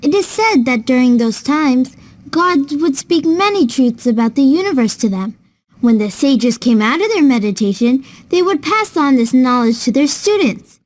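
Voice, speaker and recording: A woman's voice: high-pitched, lively intonation, normal speed, a little mellow. A woman playing a patient, kindly older sister who explains things to a child in a scripted children's educational cartoon.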